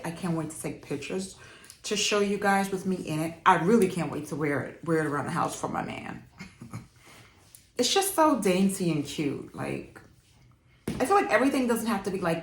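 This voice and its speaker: A woman's voice: fairly low pitch, animated, normal speed, a bit bright, a little hiss or hum. A young woman from Jamaica, raised in New York, chatting casually to camera about fashion, laughing often and using lots of fillers. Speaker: fashion vlogger. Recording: echoey room.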